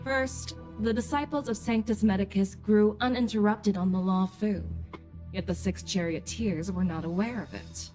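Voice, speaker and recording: An actress playing a composed female general in a video game, questioning others in a measured, formal, accusatory manner. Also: mid-range voice, darkish tone, soft background music, natural voice. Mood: stern, formal. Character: composed female general acting as stern, probing interrogator. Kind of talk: scripted game dialogue.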